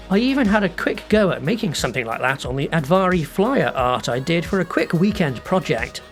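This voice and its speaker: A man with a fairly high voice and very lively intonation narrates a scripted behind-the-scenes art video. His delivery is reflective and conversational, with a self-deprecating streak. Music plays behind him. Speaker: digital artist narrating a making-of video.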